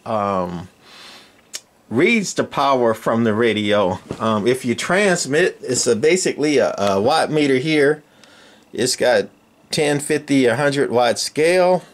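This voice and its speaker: A man presenting electronics equipment in an informal, unscripted way, explaining it in a halting, rambling manner. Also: mid-range voice, expressive.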